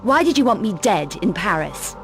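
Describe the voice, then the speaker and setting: A woman's voice: mid-range voice, very animated, moderate pace, over music. A woman with a British accent playing a tough, sardonic female adventurer in a video game, speaking in a clipped, dry, demanding way. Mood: stern, questioning. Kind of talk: video game cutscene acting.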